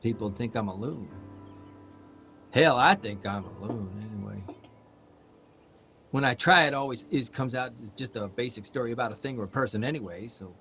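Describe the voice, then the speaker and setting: An actor playing a restless, self-doubting male writer in a live audio drama, rambling and halting as he questions himself. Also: mid-range voice, a bit bright, soft background music, close to an everyday voice. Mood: confessional, flustered.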